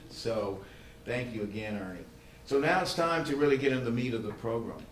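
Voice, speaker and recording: A man hosting a conference, speaking informally and haltingly to the room, often repeating words. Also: medium pitch, animated. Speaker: event host. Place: good-sized meeting room. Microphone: livestream microphone, not amplified in room. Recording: roomy echo, faint background noise.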